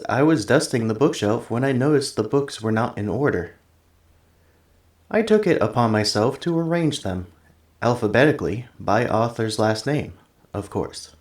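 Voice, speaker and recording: A man's voice: mid-range voice, a little mellow. Echoey, polite male android, acted by a man. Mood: matter-of-fact. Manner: formal, precise, literal-minded phrasing. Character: polite, earnest male android tenant assistant, gentle and curious. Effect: robotic voice effect. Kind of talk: ASMR roleplay, scripted one-sided conversation.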